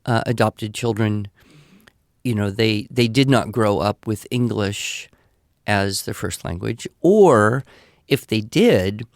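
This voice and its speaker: A man's voice: fairly low pitch, slow, no room sound, clean studio sound. An older man with an American accent giving conversational advice in a reassuring, explanatory manner. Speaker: podcast expert answering listener questions.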